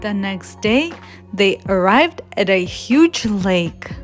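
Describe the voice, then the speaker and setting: Slow, gentle woman storyteller. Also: medium pitch, animated, faint music behind. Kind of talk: children's storybook read-aloud.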